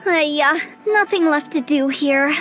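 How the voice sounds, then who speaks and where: A woman's voice: high voice, unhurried, fairly bright tone, soft background music. A woman voicing an eager, cheeky young female funeral director in a fantasy game, with theatrical sales patter. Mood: breezy, confident. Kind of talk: animated fantasy game voice acting.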